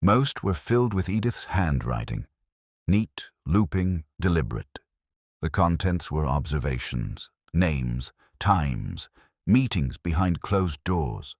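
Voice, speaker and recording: A man reading scripted narration of a detective mystery in a measured, literary storytelling manner. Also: very deep voice, very animated, no echo, clean studio sound.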